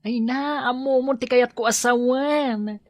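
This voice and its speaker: A woman's voice: on the high side, steady tone, a little slow, dry recording, lightly acted. A woman playing a frank, teasing workmate in an Ilocano audio drama, full of exclamations. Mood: alarmed, advising. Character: frank, nosy, teasing female workmate and friend.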